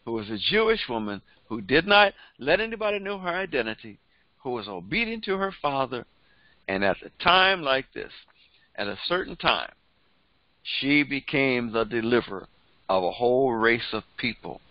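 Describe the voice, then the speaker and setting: A man's voice: mid-range voice, sing-song, normal speed, dry recording. An older man, a pastor, preaching a Bible lesson in a fervent, repetitive cadence through a headset microphone in a small studio. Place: small indoor studio before a printed backdrop. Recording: dry, slightly dull sound.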